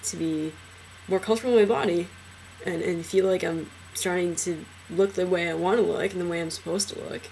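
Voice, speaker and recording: A young transgender man in a casual personal vlog, talking hesitantly with many fillers and restarts, his trained low voice deepened further by a cold. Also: fairly even intonation, fairly quick, gravelly, hardly any pauses, darkish tone, a little hiss or hum, rough recording. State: voice lowered by illness.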